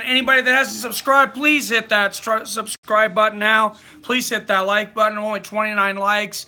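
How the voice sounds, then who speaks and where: A man's voice: high-pitched, pushed and bright. A man hosting a live fight companion stream, chatting casually and reading viewer comments aloud.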